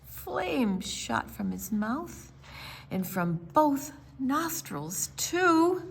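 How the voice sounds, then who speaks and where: A woman reading a rhyming children's picture book aloud in an expressive, theatrical storytime style, with dramatic pauses and emphasis. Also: high-pitched, vocal fry, breathy edge.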